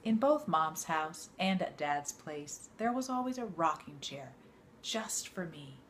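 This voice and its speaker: Woman with a deep voice reading a life story out loud, storyteller style, bit of background noise. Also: sing-song, measured pace. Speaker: teacher reading aloud to students. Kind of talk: read-aloud of an autobiography.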